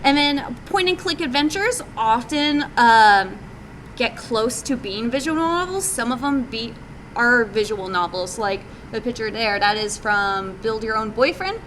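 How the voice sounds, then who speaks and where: A woman's voice: fairly high pitch, animated, unhurried, a bit bright, poor audio. A woman giving an informal live presentation as an indie game developer, in a hesitant, conversational way with casual phrasing. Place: live group meeting, presenting to attendees. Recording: live meetup recording with background noise.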